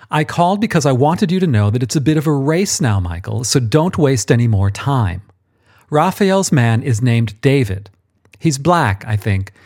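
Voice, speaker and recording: Imperious male archangel boss, condescending and self-important, acted by a man pitched up and pushy. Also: darkish tone, close to an everyday voice. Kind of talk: audiobook character voice.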